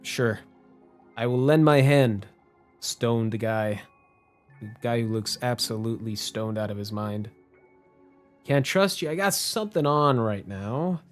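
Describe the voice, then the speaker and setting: A man's voice: medium pitch, lively intonation, a little slow, pauses often, soft background music. A man live-streaming a game with casual, joking commentary and slangy asides.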